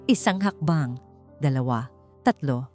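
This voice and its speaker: A woman narrating a scripted audiobook love story in a measured, expressive storytelling manner. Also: a bass voice, soft background music.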